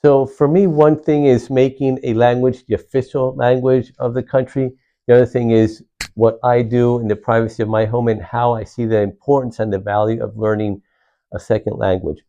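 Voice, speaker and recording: A man's voice: medium pitch, little pitch movement, moderate pace, mellow, lo-fi sound, a little hiss or hum. A middle-aged man from Puerto Rico, a county commissioner answering interview questions earnestly, often restarting his phrases with "uh". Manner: earnest, repeats words and restarts with many "uh"s.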